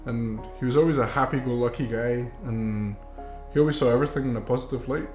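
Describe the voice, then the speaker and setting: Earnest young man over soft guitar. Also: mid-range voice, quick pace. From Mexico. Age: 20s. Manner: earnest, reflective, with some fillers. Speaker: filmmaker. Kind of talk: documentary narration. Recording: roomy with music underneath.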